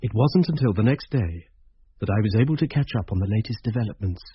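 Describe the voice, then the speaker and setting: An actor playing a troubled, introspective schoolteacher who narrates a radio drama in a brooding, uneasy way. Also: male voice, fairly low pitch, lively intonation, quick pace, slightly rough, no echo. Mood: sombre, reflective. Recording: muffled, lo-fi old radio recording.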